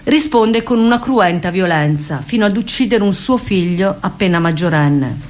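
Woman narrating radio theatre, mid-pitched. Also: animated, normal speed, slight background noise. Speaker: actor narrator.

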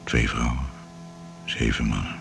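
An actor as a radio-play narrator and interviewer, clipped, formal and solemn. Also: male voice, extremely low pitch, steady tone, a little slow, vocal fry, a bit bright, slight background noise, full character voice. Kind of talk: scripted radio drama narration.

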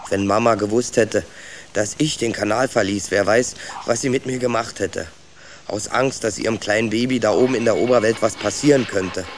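A man's voice: lowish voice, normal speed, a little hiss or hum, close to an everyday voice. A young man playing an adventurous, stubborn young rat who tells his own story in a children's radio play. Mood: curious. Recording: clear ambient effects behind.

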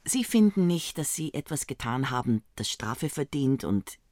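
A woman as a patient supervisor in a radio play, coaxing with gentle irony. The voice is close and dry, with lively intonation. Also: rumbling low, moderate pace, dry recording. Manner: reasoning, gently ironic.

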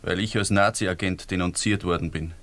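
A melancholy, ironic Austrian lover in a radio drama. His voice is fairly low. He phrases everything dryly and with educated care. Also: steady tone, moderate pace, fairly bright tone. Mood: dry, uneasy. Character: ironic, melancholy man in danger, hopelessly in love.

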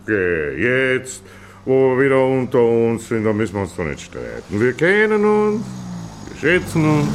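A man acting in a radio play as a slick, mocking, self-assured small-town official with a sardonic, smooth-talking manner. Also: mid-range voice, very animated, unhurried, slight background noise. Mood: smooth, condescending. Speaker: radio drama actor.

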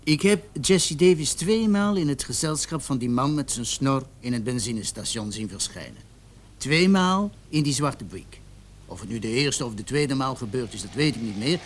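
A man's voice: mid-range voice, breathy edge. An actor playing a stubborn young male suspect in a radio drama, insisting under interrogation and sometimes stumbling over his words. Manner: insistent, hesitating and repeating himself when pressed.

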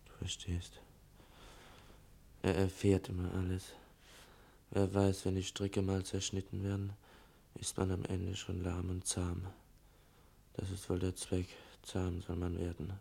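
Give me a slow, troubled, low-voiced son. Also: male voice, monotone, creaky, mellow, slightly rough, heavily acted. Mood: troubled, musing. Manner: halting, musing, confiding. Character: earnest, troubled teenage son writing to his absent mother. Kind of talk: German radio play monologue, 1960. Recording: old radio recording with rumbling noise.

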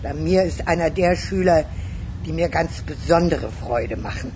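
Unhurried, gushing old woman. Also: low voice, expressive, noisy background. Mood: delighted. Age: older. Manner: gushing, repeats words for emphasis. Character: effusive, theatrical old woman, a doting teacher. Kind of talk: radio drama acting.

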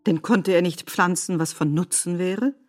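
Woman reading literary narration for radio, warm dark tone, a bit low, measured and articulate. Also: soft background music. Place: studio.

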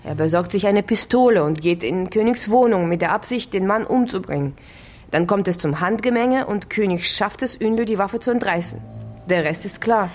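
A woman's voice: mid-range voice, normal speed, darkish tone, faint music behind, natural voice. An actress playing a sceptical, inquisitive woman working through a case, direct and reasoning, in a naturalistic radio crime drama.

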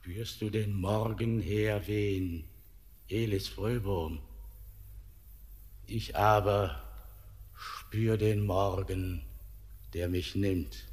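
An actor playing a weary, ominous ancient ghostly man in a poetic radio drama, speaking in solemn, declamatory, drawn-out phrases. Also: on the low side, steady tone, darkish tone. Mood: solemn, resigned. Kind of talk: classic German radio drama, poetic stage diction. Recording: long hall-like reverb.

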